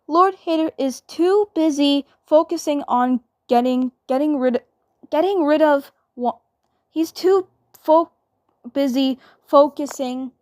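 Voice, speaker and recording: Cartoon fan dub: defiant, lovestruck young girl, played by a woman, high voice, stumbling and repeating, dismissive. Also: a little mellow, no room sound, studio quality. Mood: dismissive, confident. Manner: halting, repeating words, dismissive. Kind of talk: amateur fan dub acting.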